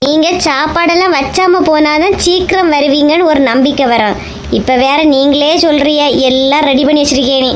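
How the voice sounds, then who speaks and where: Woman acting high-voiced cheeky daughter, Kanyakumari accent. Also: steady tone, a little fast, a little hiss or hum, lightly acted. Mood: suspicious. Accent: Kanyakumari Tamil. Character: cheeky, questioning young daughter at home. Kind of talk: comedy cartoon voice acting in colloquial dialect.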